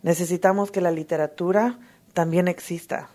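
A woman giving an emotional, earnest personal testimony at a recovery meeting, halting often to restart her sentences. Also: lowish voice, steady tone, warm tone. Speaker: twelve-step fellowship member sharing.

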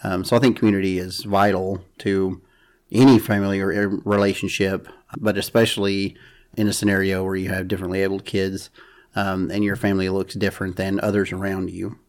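Casting note: thoughtful man in his thirties, lowish voice, halting podcast guest. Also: level tone. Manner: thoughtful, halting with fillers and restarts. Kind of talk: conversational podcast talk.

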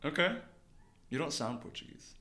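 A man's voice: fairly high pitch, normal speed, bright, forceful tone. A man in his late twenties co-hosting a podcast at work, rambling through casual chat. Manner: rambling, lots of fillers and restarts. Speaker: podcast co-host. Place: office at work.

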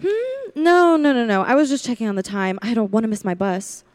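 A woman's voice: a little high, animated, fairly quick, darkish tone, dry recording, close to an everyday voice. A woman playing a young, lonely drive-in waitress in a 1940s-style radio play, confiding and wary. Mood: polite, uneasy. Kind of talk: 1940s radio play acting, first-person narration.

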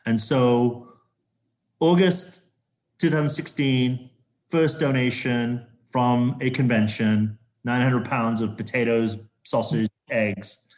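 A man from Zambia, a corporate sustainability executive, talking as an interview guest with a halting delivery full of restarts. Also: medium pitch, fairly even intonation, darkish tone. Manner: halting, many restarts and fillers.

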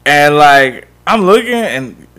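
A man's voice: fairly high pitch, slow pace. A man co-hosting a casual podcast chat, steering the talk and telling stories. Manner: lively storyteller, steers the talk. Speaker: podcast co-host.

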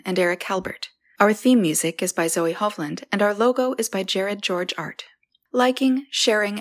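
A woman reads scripted credits for a podcast as its announcer. Her voice sits at an average pitch.

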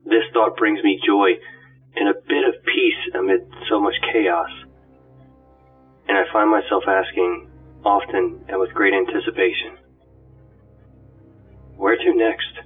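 A man voicing an awed, ancient ghost companion, delivering a reverent, measured and oratorical dramatic monologue about humanity. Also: on the low side, level tone, close to an everyday voice. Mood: reverent wonder. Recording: distant, phone-like audio under music. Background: music.

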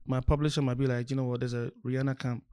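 A man's voice: medium pitch, flat delivery, fast talker, dark tone, smooth, dry recording. A laid-back man from Nigeria, chatting casually as a guest songwriter. The recording sounds dry and close, with no room around his voice. He talks with easy emphatic bursts. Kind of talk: casual podcast chat.

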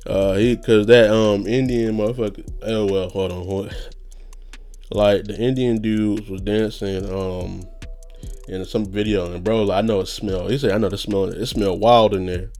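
A man's voice: fairly low pitch, fairly even intonation, moderate pace, a little mellow, faint music behind. A young man talking in a loose, rambling, profane monologue, a little nasal, laughing often. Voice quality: slightly nasal. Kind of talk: casual monologue.

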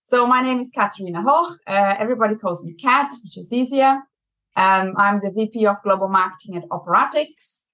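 A woman's voice: mid-range voice, brisk, rough recording. A young adult woman from Brazil with a German accent, talking as a guest in a conversational interview and laughing often. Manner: laughs often, chatty and lively. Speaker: marketing executive guest.

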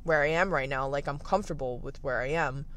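A young man in his late teens with a raspy voice, candidly thinking aloud in a casual livestream from the beach, full of fillers and restarts. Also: medium pitch, a little fast, a bit bright. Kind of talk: reflective casual livestream monologue.

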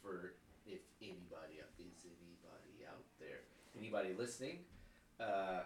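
A man's voice: sits low, moderate pace, creaky, roomy sound, a little hiss or hum. A man with a cold and a stuffy nose co-hosting a casual podcast, wry and joking. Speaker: podcast co-host. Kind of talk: casual chat.

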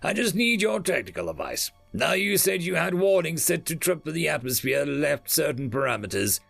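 A man's voice: on the high side, big pitch swings, normal speed, vocal fry, fairly bright tone, no echo, soft background music, pitched above the performer's own voice, full character voice. A man voicing a blunt, sardonic male military general who fires off curt questions.

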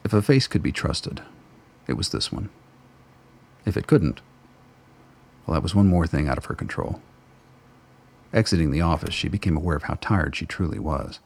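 Deep gravelly male narrator. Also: expressive, moderate pace, long pauses, darkish tone. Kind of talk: scripted narration.

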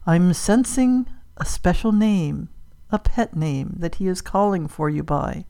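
A woman voicing a mysterious, grandiose spirit medium in an audiobook, speaking in a dramatic, deliberate way. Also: fairly low pitch, warm tone, clean tone. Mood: solemn, trancelike. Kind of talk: audiobook character acting.

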